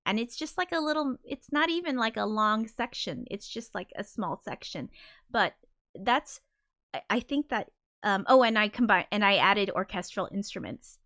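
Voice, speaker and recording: Chatty high-voiced woman on a livestream. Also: animated, moderate pace, a bit bright. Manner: rambling, many restarts, giggly. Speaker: composer streaming live. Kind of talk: casual livestream chat reading viewer comments.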